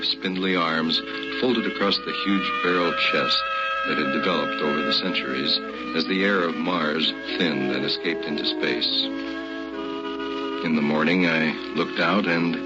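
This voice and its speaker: An actor playing a grown man soberly recalling his boyhood, as narrator of an old-time radio drama. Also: extremely low pitch. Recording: muffled old radio recording with loud music. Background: loud music.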